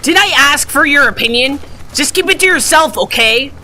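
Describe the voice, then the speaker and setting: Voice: sits high, expressive, normal speed, background noise. An actor playing a bossy, surly male cartoon creature in an amateur audio drama, curt and sarcastic. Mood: angry.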